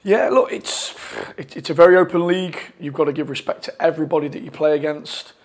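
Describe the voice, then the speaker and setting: A football manager in his forties giving a post-match interview from a press room, talking in a measured way with frequent restarts and fillers on a lapel mic. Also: fairly high pitch, fairly even intonation. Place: press room in front of a sponsor board.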